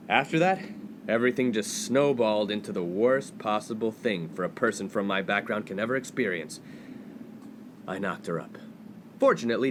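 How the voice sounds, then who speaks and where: A man's voice: on the low side, noisy background, close to an everyday voice. An actor playing a smug, glib male college freshman from a privileged background in a comedic 1950s radio play. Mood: confiding.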